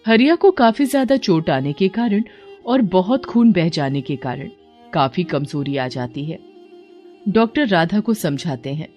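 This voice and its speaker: A woman's voice: on the low side, animated, unhurried, darkish tone, faint music behind. A woman narrator reading a scripted moral tale as a storyteller.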